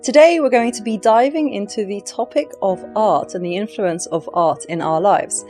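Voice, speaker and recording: A woman's voice: mid-range voice, moderate pace, darkish tone, faint music behind. A woman hosting a podcast who asks questions steadily and backs up answers with short affirmations. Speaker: podcast host. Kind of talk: hosted interview.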